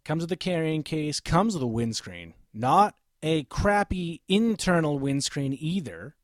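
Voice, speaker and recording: A man's voice: a little high, expressive, a little slow, dry recording, studio quality. Emphatic man lecturing about microphones. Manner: emphatic, lecturing, self-assured. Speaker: microphone reviewer. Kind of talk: opinionated gear review to camera. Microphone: studio microphone, switched during the video.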